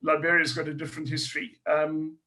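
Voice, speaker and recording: A middle-aged South African man with a higher-pitched voice talks through his ideas earnestly as a historian guest. He thinks aloud, restarting his phrases and circling back to his point. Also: completely flat delivery, fast, pushed and bright, rough recording. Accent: South African English. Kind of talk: panel discussion.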